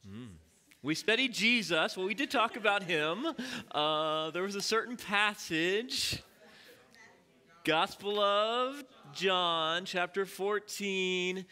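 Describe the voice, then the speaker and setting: A man leading a Bible study in church, teaching in a relaxed, conversational way with many fillers and questions to the congregation. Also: high-pitched, slow. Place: church sanctuary.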